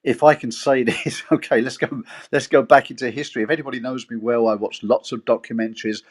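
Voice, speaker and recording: A man in an online discussion, telling a history story with animated rhetorical questions. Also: medium pitch, fast talker. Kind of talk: casual storytelling.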